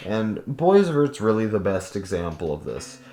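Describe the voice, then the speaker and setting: A man's voice: fairly low pitch, very animated, moderate pace, mellow, reverberant. A man giving casual, opinionated video essay commentary in an informal, emphatic way that leans on intensifiers and casual swearing. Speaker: host of a video guide.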